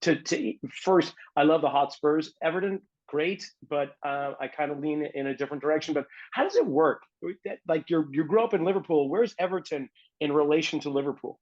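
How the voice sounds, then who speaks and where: A man's voice: on the high side, lively intonation, brisk, a little mellow, lo-fi sound. Male podcast host from New England, eager fan, conversational interviewer. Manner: enthusiastic, chatty.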